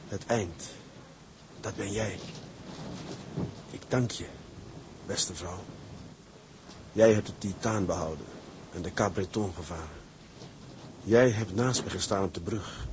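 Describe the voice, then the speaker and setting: A man acting a brooding, plain-spoken ship's captain, tender toward his wife, in a radio drama. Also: sits low. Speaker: actor. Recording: noisy radio play with background ambience.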